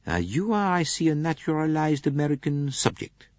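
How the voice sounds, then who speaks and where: A fussy, shrewd middle-aged detective, as a male reader puts him on, crisp and dry in every word. The voice sits well above the reader's own and swings through a lively range. The tape sounds dull and lo-fi, with no room around it. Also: on the high side, normal speed, no echo, voice pushed up. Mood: probing.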